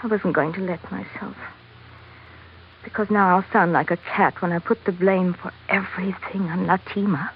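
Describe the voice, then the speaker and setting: A woman's voice: lowish voice, steady tone, moderate pace, lightly acted. A woman playing a devoted, educated young sultana with an earnest manner in an old radio drama. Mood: indignant. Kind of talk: 1940s radio drama acting. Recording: dull, noisy old radio recording.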